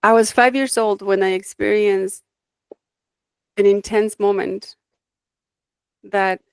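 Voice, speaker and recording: A woman's voice: mid-range voice, steady tone, slow, smooth, no echo, low-quality recording. Reflective woman from Mexico, dry sound. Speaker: guest, life design coach. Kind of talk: conversational interview, personal storytelling.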